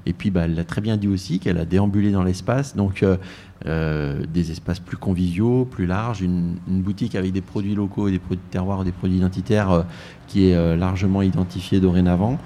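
A man heading a tourist office, answering questions in a live radio interview, with frequent pauses and restarts. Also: low voice, fairly even intonation, mellow. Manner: hesitant, many fillers and restarts.

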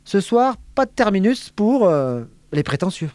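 Radio host, man, somewhat high-pitched, dry studio sound, easygoing. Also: a little slow, no echo. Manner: relaxed, chatty, reads introductions. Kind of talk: radio interview hosting.

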